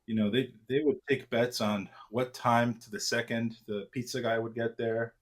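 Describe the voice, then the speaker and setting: A man in his forties, born in Argentina, answering interview questions in a relaxed, self-deprecating way with many fillers and restarts. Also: medium pitch, normal speed. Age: 40s. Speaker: trader, interview guest.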